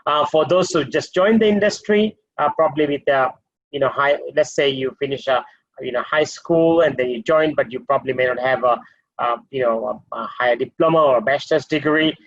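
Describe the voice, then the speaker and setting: A man who is a regional hotel director, giving a measured webinar talk over a video call with many fillers and restarts. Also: mid-range voice, no room sound. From Maldives. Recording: muffled video call audio.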